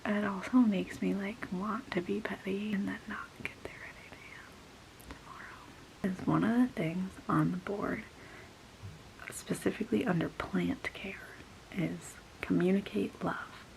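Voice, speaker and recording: A woman's voice: mid-range voice, steady tone, unhurried, gravelly, rough recording. Young woman whispering a gossipy rant. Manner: exasperated, gossipy, filler-heavy with frequent little laughs. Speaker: vlogger. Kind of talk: whispered casual vlog rant. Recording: faint hiss behind the voice.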